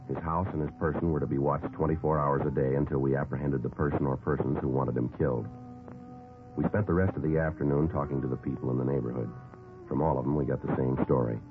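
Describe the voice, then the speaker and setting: Terse detective narrator, extremely deep and deadpan, over music, for an old radio crime show. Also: male voice, fast talker. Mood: terse, factual. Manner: deadpan, matter-of-fact. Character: terse, factual male police detective narrating his case. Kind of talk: scripted first-person narration. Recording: tinny vintage radio recording with music.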